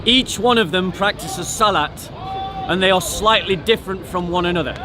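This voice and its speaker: A man's voice: high voice, moderate pace, noisy background. A man debating religion in the open air, insistent and often repeating himself. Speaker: street debater. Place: outdoors in a busy public park. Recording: busy outdoor crowd behind. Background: crowd chatter.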